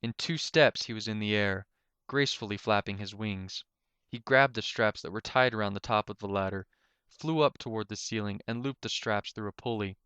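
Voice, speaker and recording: A man narrates a written story in a fairly low, clear voice. He is an amateur reader, and the recording is dry and close. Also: moderate pace, fairly bright tone, no room sound.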